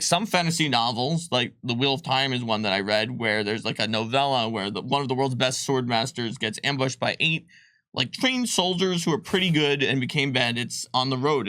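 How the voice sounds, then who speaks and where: A man's voice: medium pitch, a little fast, pushed and bright, no room sound. A man co-hosting a casual livestream, explaining game rules with many fillers and restarts. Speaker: game designer co-host. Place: studio.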